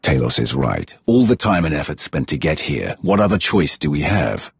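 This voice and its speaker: Video game Sith commander: low, gravelly, terse, with big swings in intonation. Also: male voice, sing-song, moderate pace, dry recording, studio quality, full character voice. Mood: resolute. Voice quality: husky, gravelly.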